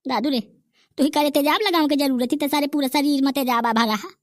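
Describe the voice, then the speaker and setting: A woman voicing a brash, quick-tempered village character in a comedy cartoon, with a Bundeli accent. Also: sits high, dry recording, studio quality, close to an everyday voice.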